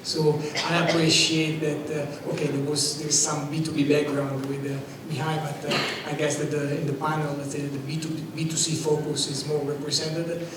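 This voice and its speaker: A man's voice: on the high side, level tone, normal speed, hardly any pauses. A man with an Italian accent speaking as a fintech panelist in a conference panel discussion, eager and dotted with fillers. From Italy. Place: conference stage. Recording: roomy hall, distant mic, noisy.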